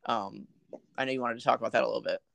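A podcast host leads a relaxed conversation with loose, winding questions and easy laughter. The voice sits at a middle pitch and sounds close and dry. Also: very fast, vocal fry, dry recording. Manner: friendly, rambling prompts with fillers and restarts. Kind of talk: casual chat.

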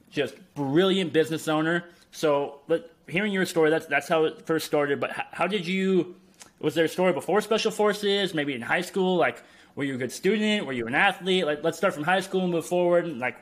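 A man's voice: fairly high pitch, a bit bright. A man hosting a podcast interview in an enthusiastic, effusive way. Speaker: podcast host. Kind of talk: casual interview.

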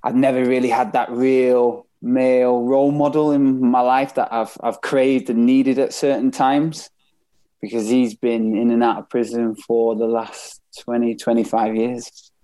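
A man's voice: mid-range voice, flat delivery, unhurried, mellow. A man with a Manchester accent, speaking candidly and reflectively as he tells his life story as an interview guest. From England, born in Bath and later moved north. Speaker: interview guest, professional footballer.

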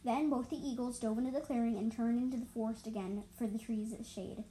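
A woman with a fairly high voice narrates animal stories in an amateur way. She stumbles, repeats herself and steps out to explain. There is audible background noise on the recording. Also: fairly even intonation, mellow, low-quality recording. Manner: halting, stumbles and repeats words. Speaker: storyteller reading own animal stories.

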